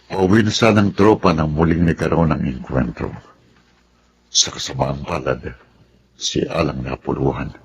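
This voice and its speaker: An actor with a Filipino accent playing a grave, sympathetic military officer with a deep gravelly voice in a radio drama. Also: male voice, normal speed, slight background noise. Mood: solemn, sympathetic.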